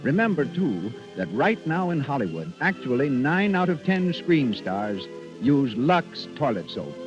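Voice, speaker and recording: A man's voice: medium pitch, sing-song, measured pace. An American man announcing scripted 1930s radio advertising with polished salesmanship. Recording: muffled 1930s radio broadcast. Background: music.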